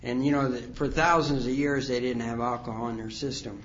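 Older man giving a halting personal testimony. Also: medium pitch, fairly even intonation, fairly bright tone, lo-fi sound, a little hiss or hum. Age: 60s. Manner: rambling, halting, many fillers. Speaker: speaker sharing his recovery story. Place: conference hall.

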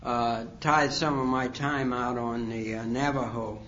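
A man in his sixties telling his recovery story at a conference, rambling and halting with many fillers. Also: medium pitch, steady tone, fairly bright tone, muffled recording, a little hiss or hum. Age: 60s. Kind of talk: personal testimony talk. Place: conference hall.

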